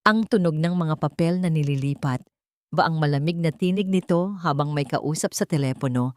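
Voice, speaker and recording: Low-voiced woman narrating drama. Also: moderate pace, no echo. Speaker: audiobook narrator.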